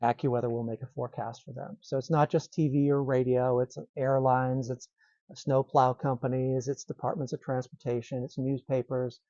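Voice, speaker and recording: Mellow-voiced middle-aged man, easygoing. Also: medium pitch, level tone, moderate pace, no echo. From Massachusetts. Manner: easygoing, laughs lightly, restarts phrases. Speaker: meteorologist guest. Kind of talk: casual interview chat. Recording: slightly dull lo-fi sound.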